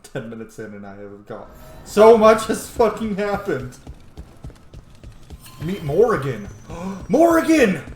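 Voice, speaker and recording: A man giving casual, excitable game playthrough commentary, reacting aloud and swearing freely. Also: high-pitched, slow pace, long pauses, reverberant. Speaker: gaming streamer.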